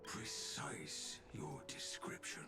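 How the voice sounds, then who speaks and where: An actor playing a cryptic, solemn craftsman creature in a fantasy video game, with halting, inverted, ceremonial phrasing. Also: pushed and bright, soft background music. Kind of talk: scripted video game dialogue acting.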